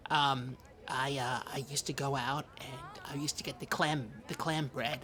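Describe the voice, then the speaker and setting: A performer playing a fussy, easily flustered small-town man, halting, prim and defensive in improvised comedy chat. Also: medium pitch, steady tone, pushed and bright, close to an everyday voice. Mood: wistful, delighted. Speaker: co-host of a comedy podcast.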